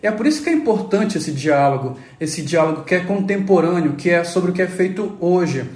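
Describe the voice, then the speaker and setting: A man's voice: high voice, expressive, fairly quick, darkish tone. Emphatic male teacher, echoey room. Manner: emphatic, lecturing to students. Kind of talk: educational panel discussion.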